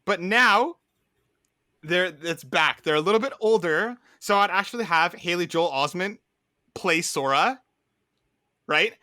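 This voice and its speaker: Male podcast co-host, bright slightly high voice, casual animated storyteller, dry close sound. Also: unhurried, pauses often, dry recording. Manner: animated storyteller, peppers talk with "right?".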